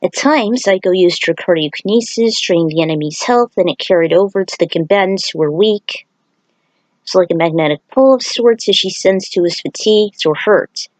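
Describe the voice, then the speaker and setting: A woman's voice: lowish voice, moderate pace, a little mellow, no room sound. A woman reading a scripted story aloud in a dramatized way, with the odd aside. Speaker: fan fiction reader.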